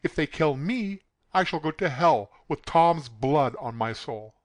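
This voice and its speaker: A woman's voice: deep voice, expressive, measured pace, dry recording. A woman voicing a weak male convict who whimpers and pleads. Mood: terrified.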